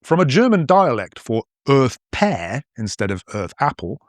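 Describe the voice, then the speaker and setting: A man from northern England whose accent is mostly posh with a few northern vowels left, telling an educational podcast in a playful, explanatory manner. His pitch swings freely as he talks, and the recording is close and dry with no room sound. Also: a little slow, no echo. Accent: English, mostly posh with northern traces. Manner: playful, explanatory, lively storytelling. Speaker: podcast host and language teacher. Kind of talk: scripted educational podcast narration.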